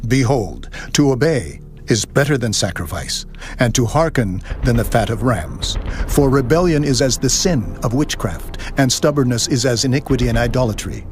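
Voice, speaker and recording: A man's voice: mid-range voice, animated, soft background music, natural voice. An actor playing a stern male prophet who rebukes a king in a dramatized scripture reading. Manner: stern, reproving, measured.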